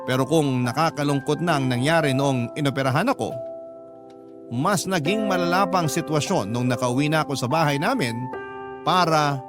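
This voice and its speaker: A Filipino man narrates a dramatic first-person radio story. His voice sits slightly higher than usual for a man. Music plays clearly behind the reading. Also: moderate pace.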